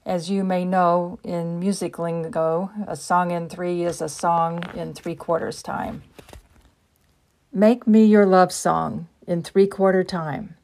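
A woman's voice: on the low side, steady tone, takes it slowly, gravelly, darkish tone. An American woman, a poet, reading a prepared introduction and verse aloud. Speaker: poet and writer.

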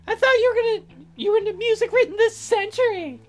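Woman putting on a very high, flustered girlish heroine. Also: faint music behind. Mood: earnest, eager. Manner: squeaky, halting, excitable. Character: eager, flustered young heroine, sweet and girlish.

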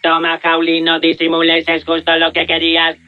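A man voicing a small robot toy that parrots others in a monotone, in a cartoon. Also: on the high side, normal speed, no echo, highs cut off, lightly acted. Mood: mimicking. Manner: monotone, repeating. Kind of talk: cartoon dub acting.

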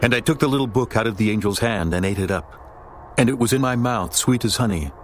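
A man narrating a dramatized scripture reading in a steady, measured way. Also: lowish voice, slight background noise. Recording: narration over background music.

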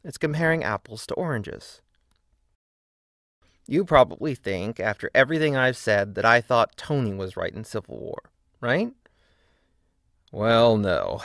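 A man's voice: on the low side, vocal fry, fairly bright tone, dry recording. Man narrating a video essay, very dry sound. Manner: opinionated, emphatic, wry. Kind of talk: scripted video-essay narration with casual asides.

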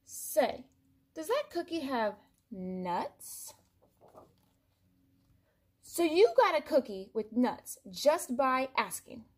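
Woman doing an indignant, whiny, loud cartoonish pigeon. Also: high voice, very animated, exaggerated voice. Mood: suspicious. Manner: exasperated, emphatic, drawn-out complaining.

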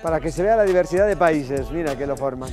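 A man's voice: fairly high pitch, lively intonation, quick pace, dark tone, soft background music. An older man hosting a variety show in a TV studio, upbeat as he introduces guests. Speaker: TV show host. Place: TV studio set.

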